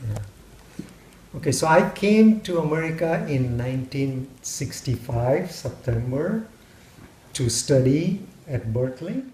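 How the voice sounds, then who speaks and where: A man in his seventies with an Indian accent, slowly recalling his childhood in a family interview. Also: mid-range voice, animated, darkish tone. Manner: slow, reminiscing. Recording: echoey room.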